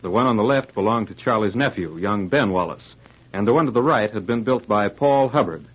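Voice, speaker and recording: A man's voice: lowish voice, a little fast, husky. A man narrating a 1940s radio adventure as announcer, dramatic and declamatory in a scripted style. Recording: muffled old radio recording.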